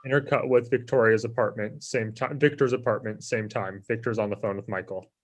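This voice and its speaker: A man's voice: on the low side, fairly even intonation, fairly quick. A man giving scripted narration, reading out the stage directions of a screenplay.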